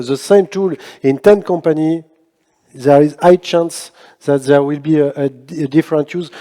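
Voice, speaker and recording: A man answering on a conference panel, his voice fairly high and mellow. He halts often and restarts his sentences. A long reverb hangs on every word, the sound of a big hall. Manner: halting, many restarts and fillers. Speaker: panel speaker.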